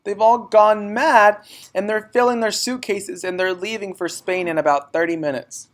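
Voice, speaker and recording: A young girl from a storybook played by a man, pitched up and loud. She is impulsive and distraught, exclaiming and begging with eager urgency. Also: fairly even intonation, moderate pace, natural voice. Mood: panicked.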